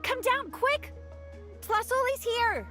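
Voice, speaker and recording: A woman voicing an energetic young woman who guides others and calls out urgently. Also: very high voice, slow pace, exaggerated voice. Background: game music.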